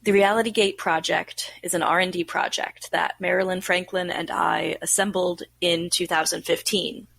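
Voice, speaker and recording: A woman's voice: on the low side, fairly even intonation, moderate pace, vocal fry, few pauses, fairly bright tone. A woman playing a weary research scientist recording experiment logs in an audio drama, speaking in a dry, formal, precise manner. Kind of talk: scripted audio drama log narration.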